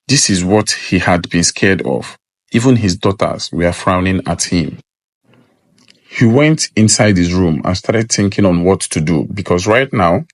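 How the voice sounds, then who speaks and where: A man's voice: low-pitched, dry recording. A man narrating a scripted folktale as a storyteller.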